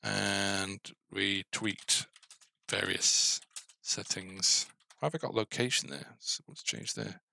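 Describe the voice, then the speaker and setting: Low, gravelly man coding out loud. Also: steady tone, slow pace, a little hiss or hum. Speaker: game developer. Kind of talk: casual coding commentary. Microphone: headset.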